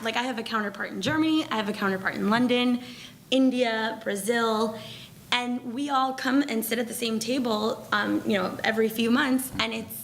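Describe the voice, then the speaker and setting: Creaky-voiced chatty woman on a panel. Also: a little high, a bit bright, a little hiss or hum. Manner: chatty, many fillers and restarts. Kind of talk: panel discussion.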